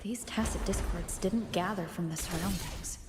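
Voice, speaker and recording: An actress playing a calm, pensive female wanderer in a fantasy game, speaking in a measured, thoughtful way. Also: fairly low pitch, music in the background, close to an everyday voice. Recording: echoey hall-like reverb, faint background noise.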